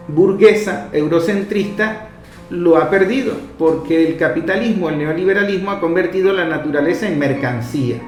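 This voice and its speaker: A Venezuelan man hosting a radio interview, speaking in long, discursive sentences. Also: fairly high pitch. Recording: roomy echo, faint music behind.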